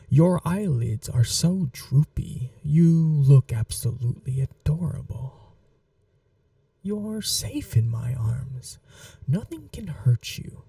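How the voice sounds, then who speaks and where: A possessive, seductive vampire noblewoman, acted by a woman murmurs in a very dark, velvety voice that often drops to a breathy whisper. Her delivery is slow and languid, teasing and coaxing as in an intimate ASMR roleplay. The sound is close and dry. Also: low-pitched, no echo, far from the mic, heavily acted.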